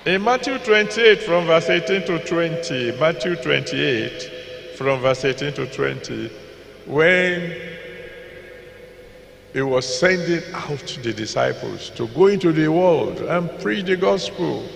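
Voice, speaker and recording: Older nigerian pastor, slow gravelly voice, handheld mic, big echoey auditorium. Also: male voice, on the high side, expressive, a bit bright, a little hiss or hum. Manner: slow and deliberate, repeats scripture references, decrees blessings. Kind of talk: sermon. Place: large packed auditorium. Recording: echoey hall with long reverb.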